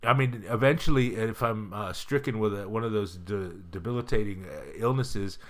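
A man's voice: on the low side, normal speed. A man in his fifties, co-hosting a casual chat, joking and rambling with fillers and frequent laughs. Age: 50s. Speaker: podcast co-host.

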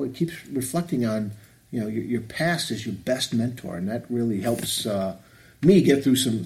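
A man's voice: medium pitch, darkish tone. A man in his fifties hosting a podcast, talking in a reflective, rambling way with many restarts. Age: 50s. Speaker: podcast host. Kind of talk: casual chat.

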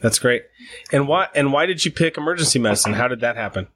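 A man hosting a podcast interview, halting and restarting his sentences as he asks questions. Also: medium pitch, lively intonation, a bit bright. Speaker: podcast host.

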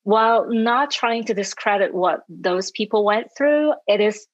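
Guest: woman from Hong Kong, measured and reflective, dry muffled recording. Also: medium pitch, no room sound. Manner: measured, thoughtful, light laughs. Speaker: guest, educator. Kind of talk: interview conversation. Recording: dull muffled sound, dry.

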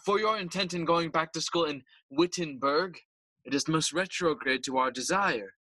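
An actor playing a regal, smooth-talking middle-aged king in formal, oratorical Shakespearean audio drama. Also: a little high, normal speed, pushed and bright, dry recording. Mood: formally persuasive. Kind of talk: bilingual Shakespearean audio play acting.

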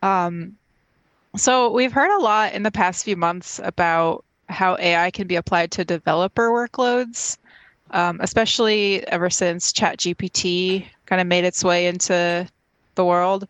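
A woman's voice: on the low side, a little slow, a bit bright, no echo. A woman hosting an interview podcast, introducing her guests and asking long, casual questions with plenty of fillers. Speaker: podcast host, news editor.

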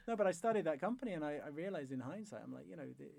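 A man's voice: fairly high pitch, lively intonation, racing, creaky, very noisy. A man hosting a podcast interview, thinking aloud with frequent restarts and hedging. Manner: thoughtful, hesitant, frequent restarts. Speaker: podcast host. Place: Norway.

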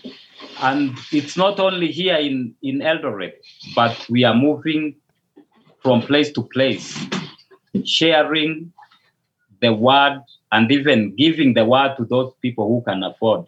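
Slow-talking Kenyan pastor on a call. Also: male voice, mid-range voice, lo-fi sound, slight background noise. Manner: slow, measured, with hesitations and restarts. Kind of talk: casual video call interview.

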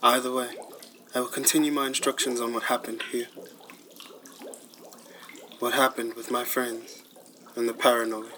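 A man playing a grave professor leaving recorded warnings in a sci-fi radio drama, lecturing in a measured, ominous way. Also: mid-range voice, level tone, noisy background, lightly acted. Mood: somber recounting. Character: grave male scientist professor leaving recorded survival instructions.

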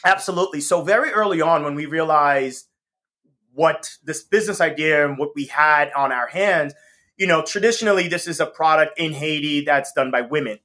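A man's voice: a little high, normal speed, sharp tone. A man from the US Northeast, a company co-founder, chatting enthusiastically as an interview guest with frequent laughs and ums. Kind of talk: casual interview chat.

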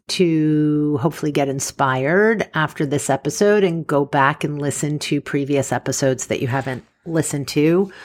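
A woman hosts a solo podcast in a soothing, slightly low voice. She speaks slowly and conversationally, explaining things in an informal, unhurried way. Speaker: doctor hosting a solo podcast.

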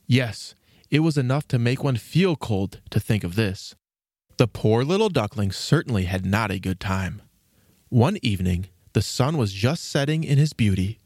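Expressive male storybook narrator, dry sound. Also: on the low side, normal speed, no echo.

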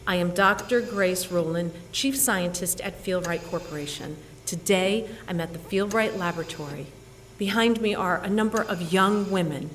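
A woman's voice: mid-range voice, a little hiss or hum, lightly acted. An actress playing a determined woman doctor in an audio drama, switching between narration and urgent, emotive dialogue. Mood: composed, resolute. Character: determined, bossy woman doctor and scientist with powers. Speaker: narrator and lead actor in a fiction podcast.

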